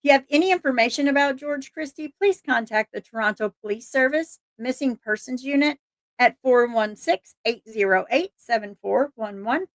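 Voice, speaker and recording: A somewhat high-pitched woman hosts a casual livestream, reading flyers and chatting with viewers. Her voice is a little hoarse and she laughs easily, stumbling and restarting words. The sound is dry and close, with no room echo. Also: a bit bright. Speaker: livestream host reading missing persons flyers.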